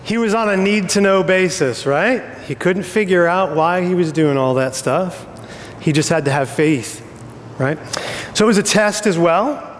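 Casting: American male pastor, middle-aged, slightly high voice, informal joking delivery, reverberant auditorium. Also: measured pace, a little hiss or hum. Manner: informal, joking, checks in with "right?". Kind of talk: conversational sermon. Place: on stage in a church auditorium. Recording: reverberant hall sound.

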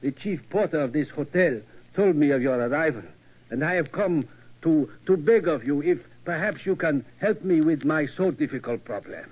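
Husky, anxious old German keeper. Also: male voice, fairly high pitch, lively intonation. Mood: deferential, pleading. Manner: halting, courteous, pleading. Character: anxious, deferential aging German tower keeper. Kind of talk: 1940s radio acting. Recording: dull, lo-fi old radio recording.

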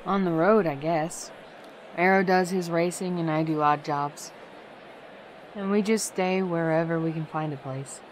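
A woman's voice: fairly low pitch, steady tone, a little slow, slight background noise, natural voice, low-quality recording. A woman voicing a dry, guarded, protective older-sister pony in a fan audio drama. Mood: matter-of-fact.

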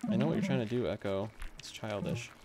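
A man's voice: lowish voice, fairly quick, loud music behind the voice, lightly acted. A man reading the part of a hurt, accusing wife in a horror game, cold and reproachful.

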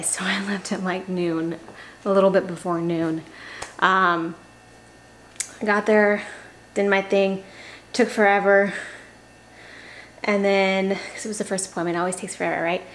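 Young woman vlogger, chatty and self-deprecating, camera on the counter in a home kitchen, light background hum. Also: mid-range voice. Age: young adult. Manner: chatty, self-correcting, laughs at herself. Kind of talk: casual chat to camera.

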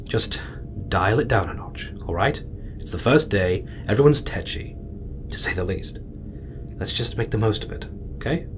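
An actor playing a calm, firm astronaut boss who keeps the peace in a naturalistic audio drama. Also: male voice, normal speed, long pauses, lightly acted. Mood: placating. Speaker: crew leader. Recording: clear rumbling noise behind.

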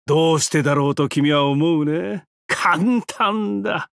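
Depraved male trickster giant, high-pitched, unhurried, theatrical, taunting, video game villain. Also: gravelly, fairly bright tone, dry recording, clean studio sound. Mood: sly, mocking. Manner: theatrical, taunting, drawn-out words, sudden swoops and cackles. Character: mocking, depraved trickster giant-god, bound prisoner and profiler. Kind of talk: theatrical game character voice lines.

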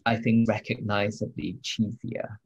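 A man, the book's translator, speaking in a measured, analytical way about the novel. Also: on the low side, flat delivery, creaky, breathy edge, highs cut off. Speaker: translator guest. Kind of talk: thoughtful discussion.

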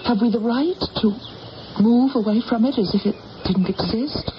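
A woman's voice: on the high side, normal speed, slight background noise, lightly acted. An actress playing an ancient British queen in a radio drama, regal, anxious and grave in a theatrical way. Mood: anxious, tender. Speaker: lead actress and narrator. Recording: dull old radio recording, background music.

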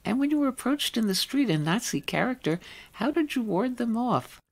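A woman's voice: on the high side, sing-song, moderate pace. Female radio interviewer asking prepared questions. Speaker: radio show host, interviewer.

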